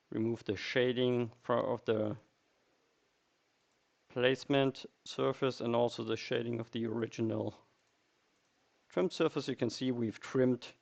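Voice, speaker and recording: A man presents a screen tutorial in a medium-pitched voice. He explains each step methodically, as an instructor guiding someone through a piece of software. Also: vocal fry, dull audio.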